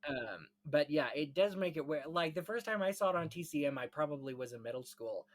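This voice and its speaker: A man's voice: on the high side, expressive, a little fast, vocal fry. A man hosting a casual discussion of film history, explaining things in rambling, enthusiastic detail. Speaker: podcast host.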